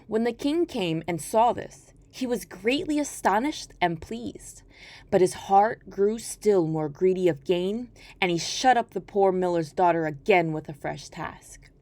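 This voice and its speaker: A woman storyteller reading a folktale aloud in an expressive, scripted narration style. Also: medium pitch, a little slow.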